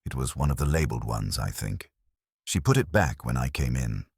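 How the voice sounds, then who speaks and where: A man's voice: rumbling low, expressive, brisk, a little mellow, husky, no room sound, clean studio sound, pitched below the performer's own voice, full character voice. A man voicing a neat, hesitant woman giving evidence in a mystery audiobook, in a careful manner. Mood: uneasy. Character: neat, hesitant female surgery assistant witness.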